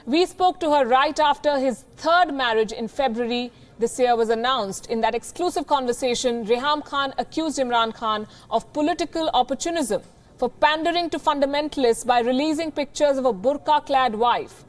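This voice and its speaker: A woman news anchor reading a scripted report and interviewing a guest, measured and crisp. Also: sits high. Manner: crisp, measured newsreader delivery.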